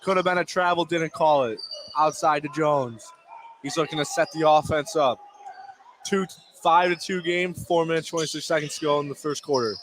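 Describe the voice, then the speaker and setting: Higher-voiced man calling basketball over gym noise. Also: moderate pace. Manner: clipped phrases, excited calls on big plays. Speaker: play-by-play basketball announcer. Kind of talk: live sports radio commentary. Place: indoor basketball gymnasium. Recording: noisy gym ambience. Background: gym noise, bouncing basketball.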